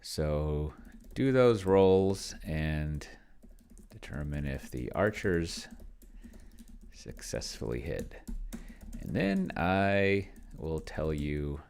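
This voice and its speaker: A man hosting a casual solo gaming session, thinking aloud and muttering to himself, sometimes slowing to dictate as he types. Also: very deep voice, very animated, creaky, a little mellow, slight background noise. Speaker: solo tabletop gamer and video host. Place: indoors at a computer, keyboard clicks behind.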